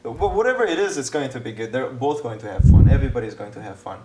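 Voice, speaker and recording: A man's voice: mid-range voice, very animated, quick pace, echoey room, very noisy. A man talking as a guest on a TV interview show, earnest and hesitant, with lots of fillers and restarts. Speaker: filmmaker guest. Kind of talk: casual TV interview.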